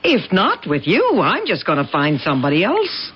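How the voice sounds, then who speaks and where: A woman's voice: on the low side, wildly swooping pitch, normal speed, slight background noise. An actress playing a lonely, fed-up wife in a radio drama, biting and exasperated in her delivery. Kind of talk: 1980s radio drama acting. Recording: dull lo-fi old radio broadcast.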